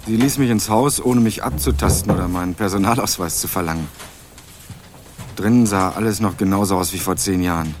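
A man's voice: sits low, a little fast, background noise. Dry wry young man narrating a crime story. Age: young adult. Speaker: first-person narrator and lead. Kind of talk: radio crime drama narration, dry ironic.

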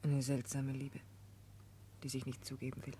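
A woman playing a calm, perceptive prisoner and confidante in a 1960s German radio drama, husky and gently direct. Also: extremely low pitch, moderate pace, heavily acted. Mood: probing, gentle.

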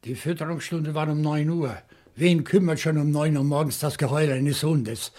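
An actor playing a shrewd, indignant professor turned amateur sleuth in a German radio crime play, reasoning theatrically step by step. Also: male voice, fairly high pitch, fairly even intonation, normal speed, dark tone, close to an everyday voice. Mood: grim, resolute.

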